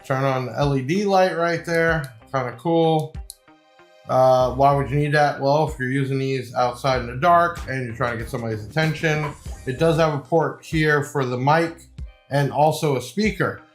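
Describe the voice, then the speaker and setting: A man's voice: medium pitch, normal speed. A man giving a casual, off-the-cuff product review, explaining things informally like a salesman. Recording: echoey room, faint music behind.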